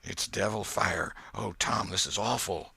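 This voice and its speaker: A man voicing a superstitious, fearful young country boy with a hushed, shivery, folksy rustic dialect in a storybook. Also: on the low side, unhurried, gravelly, husky, no room sound, pitched above the performer's own voice, exaggerated voice. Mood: dread.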